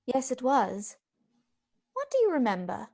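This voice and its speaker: An anxious young Victorian woman played by an actress, high-voiced and very expressive. She is earnest and solicitous, in the manner of radio drama. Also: a little slow, heavily acted. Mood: concerned. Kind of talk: radio play acting.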